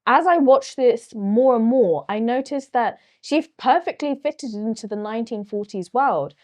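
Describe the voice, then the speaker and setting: A woman's voice: on the high side, animated, measured pace, darkish tone. A woman with a Cockney accent reviewing a film on her own channel, frank and opinionated. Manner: frank and opinionated, with occasional fillers. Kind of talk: solo movie review to camera.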